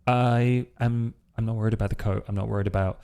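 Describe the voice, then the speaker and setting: A man's voice: on the low side, steady tone, quick pace, vocal fry, mellow, smooth. A man playing a guarded, self-assured vampire hacker in improvised role-play, understated and stubborn, with hesitant phrasing. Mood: worried.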